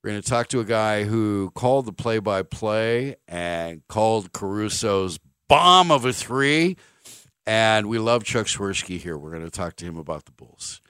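A man's voice: fairly low pitch, expressive, measured pace, a bit bright, clean tone, no echo, studio quality. A man hosting sports talk radio, giving an opinionated monologue in a blunt, emphatic way, repeating phrases for stress. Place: dry radio studio.

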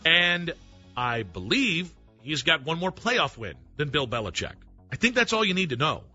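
A man with a very bright, sharp tone argues a sports point on talk radio, laying out records and stats calmly. The broadcast sound is a bit dull, with faint music underneath. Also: on the high side. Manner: measured, stat-citing argument.

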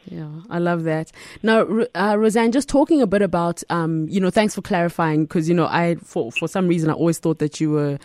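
Rambling, enthusiastic woman host, mellow voice. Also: lowish voice, a little fast, hardly any pauses, no room sound. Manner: enthusiastic, rambling questions with many fillers. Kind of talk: casual interview talk.

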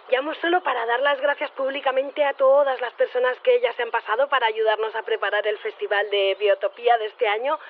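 A woman's voice: a little high, lightly acted. A woman playing a polite, matter-of-fact geneticist with a Castilian Spanish accent, leaving a chatty voicemail announcement in an audio drama. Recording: tinny phone line, faint noise.

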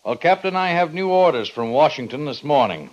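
Stern army major, muffled radio. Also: male voice, on the high side, very animated. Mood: stern, official. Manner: formal, authoritative, measured orders. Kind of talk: 1950s radio western acting. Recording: muffled old radio recording.

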